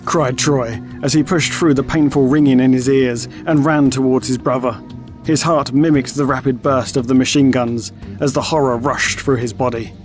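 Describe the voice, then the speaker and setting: Male story narrator, mid-pitched, measured dramatic read, scripted audio drama, music behind. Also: steady tone. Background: music and sound effects.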